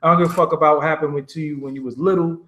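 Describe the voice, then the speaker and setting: A man's voice: a little high, fairly even intonation, racing, sharp tone, reverberant. A man from Brooklyn, hosting a call-in show in blunt, profane casual talk.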